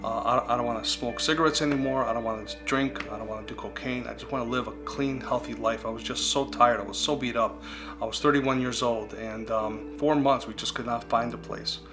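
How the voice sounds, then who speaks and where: A man's voice: medium pitch, fairly even intonation, a little fast. A man in his forties, Greek as his first language, earnestly telling his personal recovery testimony in a reflective way. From United States. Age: 40s. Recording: guitar music playing underneath.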